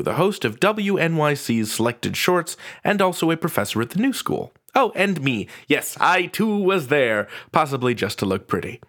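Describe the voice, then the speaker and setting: Wry male podcast host, medium pitch. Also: a bit bright. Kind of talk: scripted podcast introduction.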